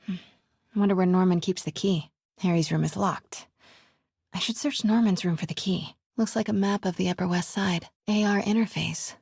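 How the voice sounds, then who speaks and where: A woman playing a wry, curious, quick-witted young woman in a video game, muttering to herself as she sneaks around, with a breathy voice. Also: on the low side, no echo, studio quality. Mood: focused, stealthy. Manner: wry, hushed self-talk.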